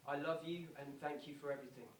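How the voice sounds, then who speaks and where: An actor playing an earnest male pop star making a slow, pleading, repetitive theatrical speech to stadium football fans. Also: fairly high pitch, fairly even intonation, lightly acted. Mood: grateful, loving. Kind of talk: theatrical public speech, reenacted from quoted address. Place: indoor performance venue, recorded from a distance. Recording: roomy, distant, noisy live theatre recording.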